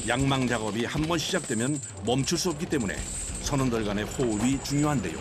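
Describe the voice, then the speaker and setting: A man's voice: mid-range voice, animated, fast, noisy background. A man reading scripted documentary narration in Korean, with music playing behind him. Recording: loud music bed behind voice.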